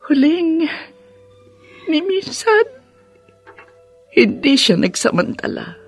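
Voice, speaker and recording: A woman acting a tender, devoted widowed mother in a radio drama, with a halting, emotional delivery. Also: sits high, sing-song, faint music behind, full character voice. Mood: sorrowful, confiding.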